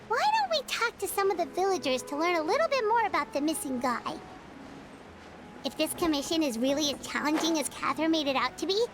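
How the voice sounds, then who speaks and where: A squeaky excitable fairy sidekick. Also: female voice, noisy background. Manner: eager, refers to herself in third person. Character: tiny excitable female fairy companion, chatty and curious. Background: game music.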